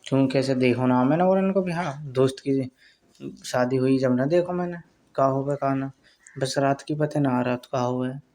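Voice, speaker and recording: A man's voice: medium pitch, moderate pace, darkish tone, natural voice. A man playing a nosy, teasing young friend who keeps prying in a casual chat, with a western Uttar Pradesh accent. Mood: mock pitying. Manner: prying, teasing, persistent questioning. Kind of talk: casual phone chat, acted as candid.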